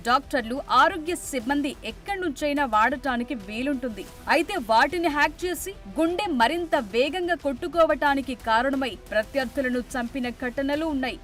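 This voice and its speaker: Woman, high-pitched, scripted news narration, faint music behind. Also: fairly bright tone.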